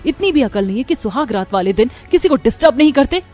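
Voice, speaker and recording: A woman acts out a haughty young sister-in-law in a cartoon family story. She is high-pitched, sharp and sarcastic, scolding indignantly over background music. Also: lively intonation, fast. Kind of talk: dramatized Hindi moral story cartoon.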